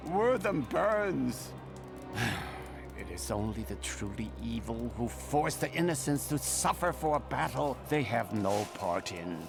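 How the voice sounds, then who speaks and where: Righteous grieving game ally, slow and creaky, music under it. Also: male voice, mid-range voice, very animated. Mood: sorrowful. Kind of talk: fantasy video game acting.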